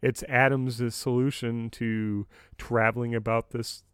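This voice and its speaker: Casting: male podcast co-host, mid-pitched, agreeable and measured, conversational, very dry close sound. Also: level tone, a bit bright, smooth, no room sound. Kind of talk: casual discussion of a radio drama.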